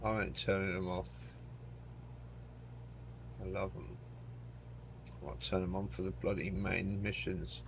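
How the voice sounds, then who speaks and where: A man's voice: sits low, monotone, unhurried, vocal fry, long pauses. A man streaming a flight simulator game, thinking aloud to himself and his chat, joking and swearing as he goes. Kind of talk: casual live commentary while playing a flight simulator. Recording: loud engine noise from the game.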